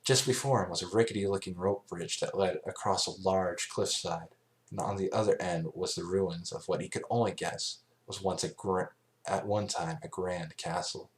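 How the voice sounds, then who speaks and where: A man reading scripted story narration as an amateur, in a halting way, stumbling and rereading phrases. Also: deep voice, steady tone. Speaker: amateur fan fiction reader.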